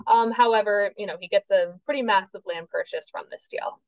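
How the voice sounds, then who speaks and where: A woman's voice: mid-range voice, fairly quick, dry recording, poor audio. A woman with a New Jersey accent giving a recorded history lecture from her basement, chatty and self-correcting, often checking in with "okay?". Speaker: history teacher. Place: home basement. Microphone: webcam.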